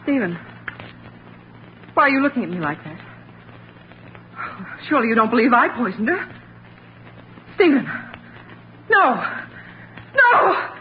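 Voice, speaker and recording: A woman's voice: a little high, sing-song, measured pace, pauses often. An actress playing a mother in a 1940s radio drama, with an emphatic, theatrical delivery. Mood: shocked, distraught. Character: firm, impatient mother who turns distraught. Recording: muffled 1940s radio recording with hiss.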